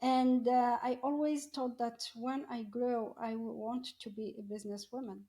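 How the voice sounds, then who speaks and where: A middle-aged woman tells her personal story in an interview, often halting and searching for words. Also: a little high, little pitch movement, warm tone. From Morocco. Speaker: podcast guest, coach.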